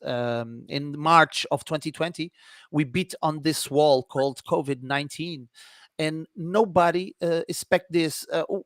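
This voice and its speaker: A man from Portugal with a Portuguese accent, speaking English as a second language, hosting an interview in halting, repetitive speech. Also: fairly high pitch, fairly bright tone, dry recording. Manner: halting non-native English, repeats phrases. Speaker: radio-style interview host, DJ. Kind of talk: casual interview chat.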